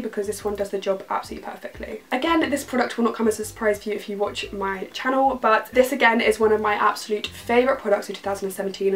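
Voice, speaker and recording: A young British woman reviewing beauty favourites to camera in her bedroom, gushing and a little croaky from being ill. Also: mid-range voice. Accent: British English. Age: young adult. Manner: gushing, enthusiastic, repeats words for emphasis. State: slightly croaky from illness. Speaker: beauty vlogger. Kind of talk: casual product review to camera. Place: bedroom, indoors.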